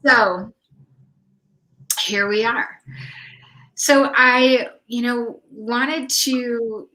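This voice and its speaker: A woman hosts a casual online interview. Her voice is notably bright and forward. She speaks slowly, pausing often between short phrases as she introduces her guest. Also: fairly high pitch, low-quality recording. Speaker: online summit host.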